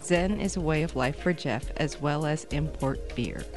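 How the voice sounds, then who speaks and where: A woman's voice: low voice, unhurried. Woman announcer over faint music. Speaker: podcast announcer. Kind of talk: scripted introduction read.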